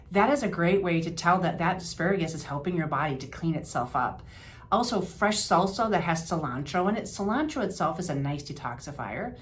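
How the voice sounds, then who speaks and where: An older woman from the Upper Midwest with a lowish voice gives friendly health tips in a rambling, homey way, with fillers and self-corrections. Faint background hiss sits under her voice. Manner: chatty, homey, with fillers and restarts. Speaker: health practitioner. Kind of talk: informal health advice talk to camera.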